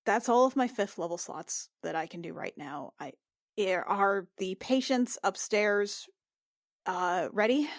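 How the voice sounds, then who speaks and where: A woman playing a flustered, weary noblewoman healer in a tabletop game, talking in a halting, apologetic way. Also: medium pitch, lively intonation, dry recording, studio quality. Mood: weary, impatient.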